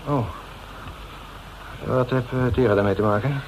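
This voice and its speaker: A man's voice: mid-range voice, unhurried, lightly acted. An actor with a Netherlands Dutch accent playing a suspicious, touchy man in a radio drama, hesitant, colloquial and blustering. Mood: puzzled, hesitant. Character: suspicious, touchy man receiving late-night visitors. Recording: muffled, noisy radio recording.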